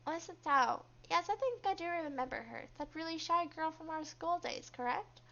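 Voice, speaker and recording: Woman as an eager, high-voiced awkward young man. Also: lively intonation, moderate pace, vocal fry, bright, forceful tone, exaggerated voice. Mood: curious. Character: eager, friendly, awkward young male office worker. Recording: dull, lo-fi microphone.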